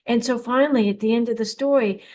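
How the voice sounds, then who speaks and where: A woman's voice: on the high side, fast, darkish tone. Chatty encouraging older woman radio host. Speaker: host of a dream radio show. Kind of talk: casual solo radio talk.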